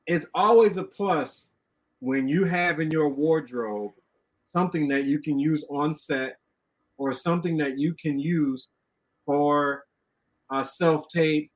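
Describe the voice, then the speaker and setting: Measured male co-host, unhurried, slightly raised pitch. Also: poor audio. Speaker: podcast co-host. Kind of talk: casual podcast chat.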